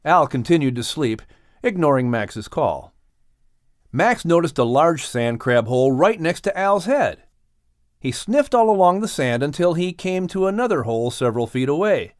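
A man reads a children's story with expressive, animated delivery. His voice is fairly high and moves widely in pitch, recorded close and dry. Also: moderate pace, no echo. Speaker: audiobook narrator. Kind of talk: scripted children's story narration.

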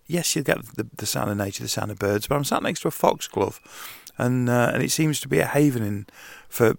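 Croaky, nasal man rambling outdoors. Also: on the low side, no echo. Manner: rambling, conversational, frequent ums and restarts. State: croaky and nasal from hay fever. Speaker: podcast host. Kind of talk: casual solo podcast monologue. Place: outdoors in a garden.

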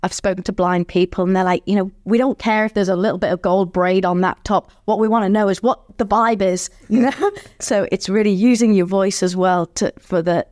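A woman, a former ballerina who now works as an audio describer, telling stories in a lively, good-humoured way. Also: medium pitch, a little fast, no room sound, clean studio sound. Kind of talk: conversational storytelling.

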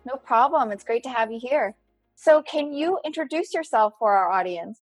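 A woman's voice: fairly high pitch, lively intonation, moderate pace, husky, soft background music. A woman hosting a legal podcast, reading a polished intro and then asking interview questions. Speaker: podcast host.

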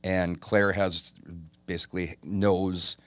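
Deep-voiced man chatting in a dry studio. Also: takes it slowly, dry recording. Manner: relaxed, rambling, many fillers. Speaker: guest, tribute singer. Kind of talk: casual interview chat.

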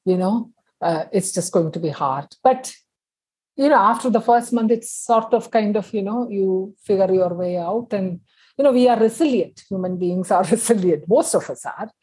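A woman's voice: medium pitch, expressive, moderate pace, a little mellow, breathy edge, rough recording. A middle-aged woman from Kerala in India, whose first language is Tamil, chatting as a guest on a video call and telling stories with many fillers. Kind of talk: casual interview chat.

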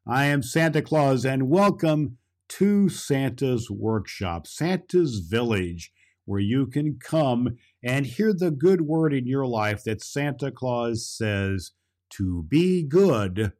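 Here is a jolly Santa Claus, played by a man, hosting a children's story podcast. The voice sits at a medium pitch. The delivery is kindly, folksy and inclined to ramble. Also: lively intonation, slow pace, darkish tone. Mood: jolly, welcoming. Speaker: host and storyteller.

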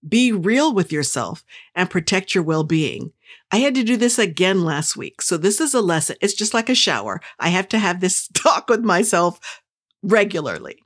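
Woman, podcast host, slightly low pitch, upbeat pep talk, direct and playful. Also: big pitch swings, moderate pace, breathy edge. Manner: direct, playful, speaks straight to the listener. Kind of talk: motivational podcast monologue.

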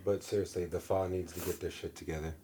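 A man's voice: low-pitched, steady tone, rapid-fire, mellow, breathy edge. A young man co-hosting a casual podcast, riffing with crude jokes. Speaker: podcast co-host. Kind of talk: casual chat.

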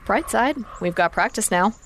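An upbeat, flippant young teammate in a fiction podcast. His voice is high for a man, with a breezy, casual manner. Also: expressive, moderate pace, a bit bright, slight background noise. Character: upbeat, flippant young team member in the field. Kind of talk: naturalistic fiction podcast acting.

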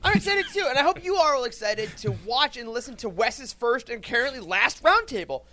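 Animated jokey male podcast host, higher voice. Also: brisk, a little hiss or hum. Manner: jokey, effusive. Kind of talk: casual upbeat chat.

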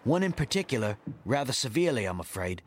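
A man's voice: mid-range voice, big pitch swings, quick pace, slight background noise, close to an everyday voice. A man playing a loyal, dutiful first officer on a sailing ship in an audio drama, formal and deferential in manner. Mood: anxious, grave. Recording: loud ship and sea ambience behind.

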